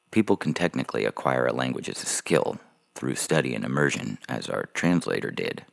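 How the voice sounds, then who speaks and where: A man playing a slick, callous adoption agency salesman with gravelly smooth patter in an audio story. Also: extremely low pitch, heavily acted. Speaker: voice actor reading a fiction podcast story. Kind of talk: dramatized short story reading. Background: music under the voice.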